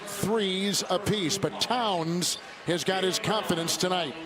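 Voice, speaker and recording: A man's voice: high-pitched, takes it slowly, a crowd in the background. A man calling live basketball play-by-play in clipped, polished broadcast phrases. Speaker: play-by-play announcer. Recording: loud music over broadcast feed.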